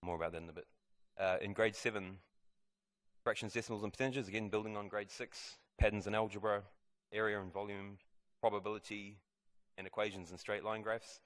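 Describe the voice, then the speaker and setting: A man's voice: lowish voice, steady tone, brisk, a bit bright. A man who heads a school maths department, steadily explaining the curriculum to parents in a school presentation room.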